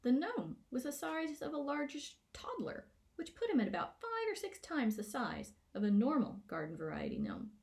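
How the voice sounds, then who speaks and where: A woman narrating a scripted children's fantasy story in a playful, expressive way, as an author reading aloud. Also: sits high, normal speed, creaky, few pauses. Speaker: author reading own book.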